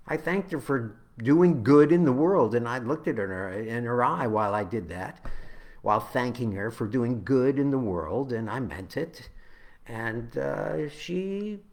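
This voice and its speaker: Man reciting poetry, very slow, dramatically varied. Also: mid-range voice, lively intonation. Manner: halting, deliberate phrasing with dramatic swells and hushed drops. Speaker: poet reading own poem. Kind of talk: dramatic spoken-word poetry reading.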